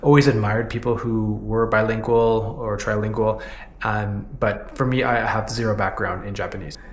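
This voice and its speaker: A man's voice: on the low side, steady tone, moderate pace, roomy sound, slight background noise. A Canadian man in his thirties, earnestly narrating his own vlog in a candid way, often dropping "you know" as he talks. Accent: Canadian English. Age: 30s. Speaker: vlogger. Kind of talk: reflective personal vlog narration.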